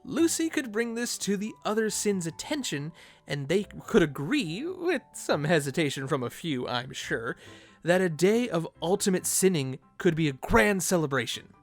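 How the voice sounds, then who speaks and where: Male narrator for a fan theory video, lively swinging intonation, slightly high voice, informal and playful, light music bed. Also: moderate pace. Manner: playful, conversational asides. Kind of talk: animated, informal video essay commentary.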